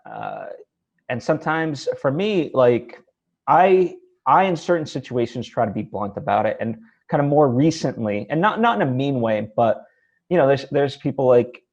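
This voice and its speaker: A man's voice: mid-range voice, darkish tone. A man hosting a casual interview, thinking aloud in a tentative, hedging way. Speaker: interview host. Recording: slightly dull, lo-fi audio.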